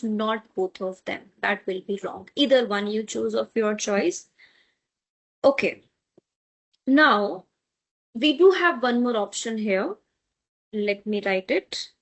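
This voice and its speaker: A woman whose first language is Tamil teaches a beginner language class at an unhurried pace. Her voice sits a little high, and she repeats each word slowly before checking that her students are following along. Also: fairly bright tone. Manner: patient, repeats words slowly, checks in with "okay?". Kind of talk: online language lesson.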